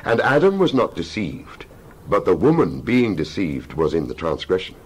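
A man giving a dramatized scripture reading as a Bible narrator, solemn and emphatic, with measured oratorical phrasing. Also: sits low, huge pitch swings, breathy edge. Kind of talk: dramatized scripture reading, King James English.

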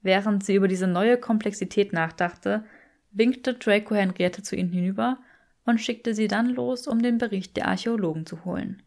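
A woman's voice: medium pitch, moderate pace, darkish tone. A woman reading scripted story narration as an audiobook narrator.

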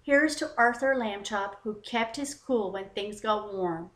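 A woman's voice: mid-range voice, a little slow, reverberant, close to an everyday voice. A woman voicing an encouraging, hearty male skating coach from a children's storybook. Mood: approving.

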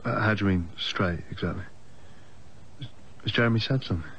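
A low, mumbling English husband. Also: male voice, a little fast, lo-fi sound, slight background noise. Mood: hushed. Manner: quiet, mumbling, hesitant. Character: awkward husband, muttering and evasive.

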